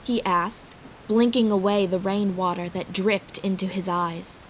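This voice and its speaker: A woman's voice: mid-range voice, a little slow, a little hiss or hum, low-quality recording. A woman reading scripted fantasy story narration as the narrator. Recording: steady rain and storm ambience behind.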